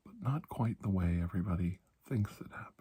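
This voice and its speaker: A man playing a calm, kindly doctor in a soft-spoken ASMR roleplay, reassuring and deliberate, with long pauses and breathy slips into whispering. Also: deep voice. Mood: hushed, confiding. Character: calm, kindly, ageless male doctor of alien medicine.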